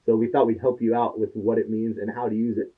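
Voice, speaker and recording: A man's voice: on the low side, little pitch movement, fast talker. A man co-hosting a podcast in casual chat, telling anecdotes and quoting others as he goes. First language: English. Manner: chatty, tells anecdotes, quotes others in his stories. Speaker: podcast co-host. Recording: tinny phone-like sound.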